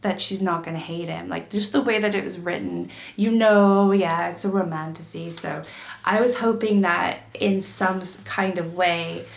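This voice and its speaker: A woman book vlogger getting over a cold, her throat gravelly, chatting casually to camera with lots of fillers and restarts. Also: on the low side, steady tone, moderate pace, reverberant. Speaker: book YouTuber.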